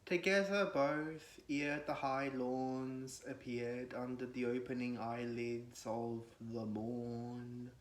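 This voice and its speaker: A man gives a solemn, declamatory, measured recitation of classical poetry. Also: mid-range voice, level tone. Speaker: poetry reader. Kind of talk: dramatic recitation of classical verse. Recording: echoey room.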